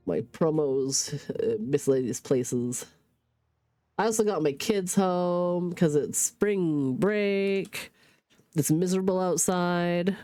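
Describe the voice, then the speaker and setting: A woman's voice: low voice, warm tone, dry recording. A woman in her thirties streaming from home with a stuffy sinus cold, rambling casually, swearing and laughing.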